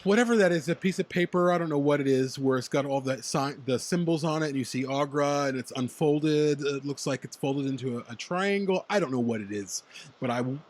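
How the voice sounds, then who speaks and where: A young man co-hosting a casual fan podcast, chatting excitedly and laughing often. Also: on the high side, brisk. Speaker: podcast co-host. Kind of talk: casual chat between fans.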